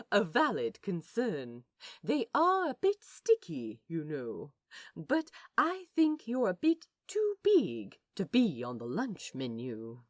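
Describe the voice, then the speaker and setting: A woman voicing a gentle, fussy male aquarium guide in a fan fiction audio drama, courteous, teacherly and softly enthusiastic. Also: medium pitch, wildly swooping pitch, slow pace. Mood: warm, delighted.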